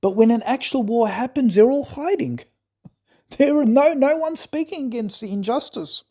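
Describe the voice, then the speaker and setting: A man's voice: very high voice, expressive, moderate pace, mellow. A man in an impassioned, scolding monologue who keeps asking "right?". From Australia. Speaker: commentator.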